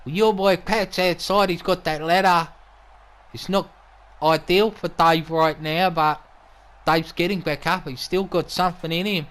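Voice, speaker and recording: A skinny, whiny wrestling manager, acted by a man, commentates in an Australian accent. The voice is high with little bass in it. He is mouthy and defensive, and he swears constantly. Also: fairly even intonation, measured pace, fairly bright tone. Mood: casual. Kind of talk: wrestling commentary with in-character banter.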